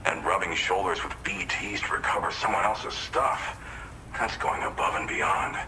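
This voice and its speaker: An actor playing an affable, grateful researcher from an isolated shelter in a video game, talking informally and explaining things. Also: male voice, low-pitched, moderate pace, sharp tone, slight background noise, low-quality recording. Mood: grateful, impressed.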